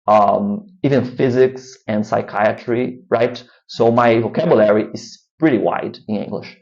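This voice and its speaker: A man's voice: mid-range voice, darkish tone, lo-fi sound. Man with a Brazilian accent, rambling. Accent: Brazilian Portuguese. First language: Portuguese. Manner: rambling, frequent "right?" tag questions. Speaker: language-learning vlogger. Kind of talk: casual talk to camera.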